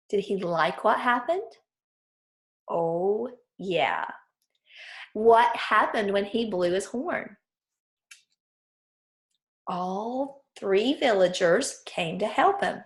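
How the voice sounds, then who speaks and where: A woman teaching children through a read-aloud, explaining things clearly and putting questions to her listeners. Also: medium pitch, animated, slow, pauses often.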